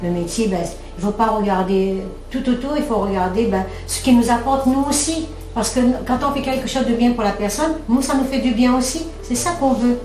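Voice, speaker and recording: A woman's voice: on the high side, brisk, hardly any pauses, darkish tone. Conversational woman, slightly distant and echoey. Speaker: home care worker. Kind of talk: interview testimonial about their job. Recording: echoey room, distant mic, music bed. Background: music.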